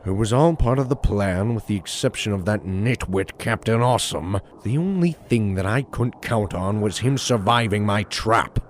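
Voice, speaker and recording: A man's voice: lowish voice, animated, normal speed, hardly any pauses, a little hiss or hum, close to an everyday voice. A man playing a scheming, smug supervillain mastermind in an audio drama, with a taunting, theatrical delivery. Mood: gloating. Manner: taunting, theatrical, self-satisfied. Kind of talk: audio drama villain acting.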